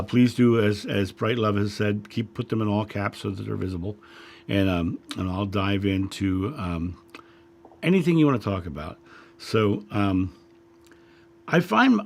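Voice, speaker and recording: A man hosting a spiritual livestream, chatting casually and greeting viewers by name, his voice a little raspy after a long week. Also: fairly low pitch. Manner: friendly, chatty, reads names from chat with frequent fillers. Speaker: livestream host, spiritual guide. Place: home studio.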